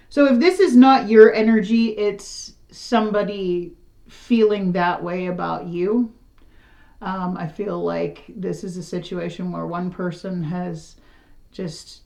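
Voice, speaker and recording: A middle-aged woman with a raspy, slightly hoarse voice gives a casual tarot reading to the camera. She talks in a frank, rambling, conversational way. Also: lowish voice, a little mellow. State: slightly hoarse after illness. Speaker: tarot reader.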